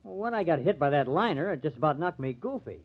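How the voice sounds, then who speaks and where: A slangy, defensive male ballplayer in a 1940s radio drama. His delivery is very animated in pitch, heard through a thin, phone-like old recording. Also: fast. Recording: tinny, narrow-band old radio recording.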